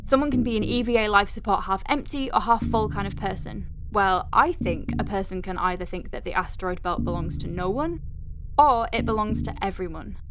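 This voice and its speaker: A woman's voice: medium pitch, a little fast, natural voice. A woman playing an exasperated, wry doctor at a space clinic in an audio drama, with a sardonic, conversational delivery. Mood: earnest. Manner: sardonic, conversational, hesitant asides. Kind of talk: audio drama monologue, dictating a letter. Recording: music behind the voice. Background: music.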